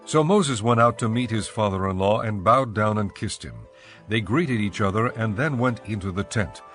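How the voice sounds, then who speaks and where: A man reads scripted scripture narration in a measured, formal way. His voice is fairly low and recorded very dry, with soft music underneath. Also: no room sound. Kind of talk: scripted narration of dramatized audio Bible.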